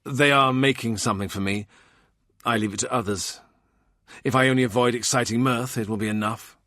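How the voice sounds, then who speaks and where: A man's voice: medium pitch, steady tone, brisk, bright, forceful tone, no room sound, studio quality, close to an everyday voice. A man voicing a shrewd, wry and persuasive royal adviser with a courteous, probing manner in a historical audiobook. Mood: modest.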